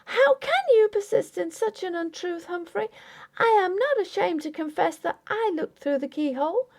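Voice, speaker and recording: A woman's voice: very high voice, animated, normal speed, vocal fry, a little mellow, voice pushed up, exaggerated voice. A woman voicing an indignant, tearful, stubborn young Victorian wife in a ghost story audiobook, with formal, emphatic speech. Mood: indignant, insistent. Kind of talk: dramatic audiobook character acting.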